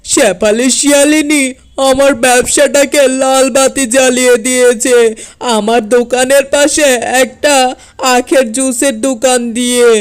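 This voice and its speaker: A performer plays a resentful, scheming cartoon tiger trader with a high-pitched, smooth voice. The delivery is indignant and full of grievance. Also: fairly even intonation, measured pace. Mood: aggrieved. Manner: complaining, indignant. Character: aggrieved tiger sugarcane seller, resentful and scheming. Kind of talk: cartoon character dialogue.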